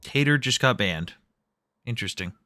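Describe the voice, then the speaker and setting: A man's voice: medium pitch, animated, normal speed, sharp tone, no room sound. A man hosting a live stream, chatting casually and bluntly, with frequent swearing. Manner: blunt, profane, offhand.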